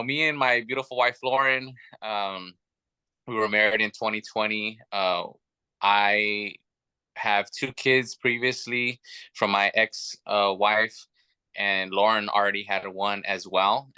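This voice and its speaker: A man's voice: lowish voice, level tone, measured pace, bright, forceful tone, smooth, no room sound. A man in his thirties, a guest telling his family story in an earnest, chatty way with many fillers. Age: 30s. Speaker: podcast guest. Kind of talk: casual chat.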